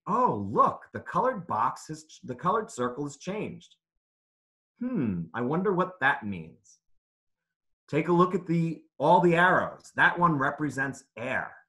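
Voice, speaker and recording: Animated, higher-pitched man reading to children. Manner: clear, simple teacherly phrasing with questions to listeners. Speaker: author reading aloud to children. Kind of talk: interactive children's read-aloud lesson.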